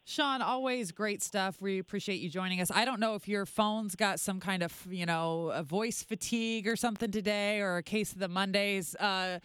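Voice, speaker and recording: A man's voice: sits high, moderate pace, few pauses, fairly bright tone, clear, resonant tone, no echo. An American man hosting sports radio with casual, joking banter and a teasing way of talking.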